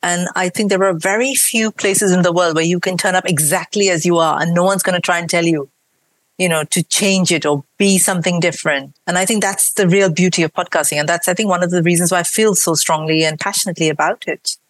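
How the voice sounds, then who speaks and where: A woman from India talks in a mixed accent, part Indian from Mumbai, Bangalore and Kerala and part British, with a slightly low voice. She speaks earnestly and with emphasis in a casual podcast chat. The sound is close and dry. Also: fairly even intonation, a little fast, no echo. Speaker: podcaster and guest.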